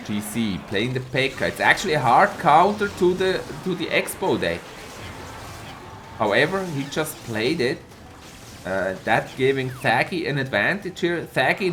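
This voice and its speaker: Brief: male game caster, animated, slightly hoarse, unhurried delivery, faint music under. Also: medium pitch. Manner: lively, laughs often, greets chat viewers by name. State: a bit hoarse from a cold. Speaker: esports caster and streamer. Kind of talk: live tournament commentary with chat replies.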